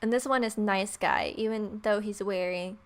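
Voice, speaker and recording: A woman's voice: mid-range voice, fairly even intonation, moderate pace. Playful woman gamer reading aloud. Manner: playful, irreverent. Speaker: let's play host. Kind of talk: visual novel read-aloud with casual commentary.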